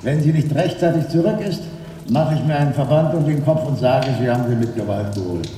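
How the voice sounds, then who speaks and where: Man doing a sly prison guard, dark mellow voice, grudging, super echoey hall, radio play. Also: mid-range voice, brisk, lightly acted. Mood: stubborn, sly. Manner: grudging, sardonic, bureaucratic. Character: stubborn, venal male prison warder, cynical and unhurried. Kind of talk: German radio drama acting.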